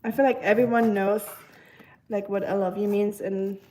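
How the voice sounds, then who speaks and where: A woman's voice: mid-range voice, fairly even intonation, moderate pace, a little mellow, slight background noise, low-quality recording. A young woman chatting casually on a live stream while cooking at home, reading comments with lots of fillers and giggly asides. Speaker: livestreamer.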